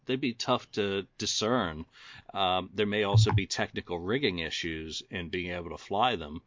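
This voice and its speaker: A man with a fairly low voice talks as the host of a casual discussion, phrasing his points in wordy detail. The sound is close and dry, though somewhat dull. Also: moderate pace, a bit bright, no echo. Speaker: host questioning a guest.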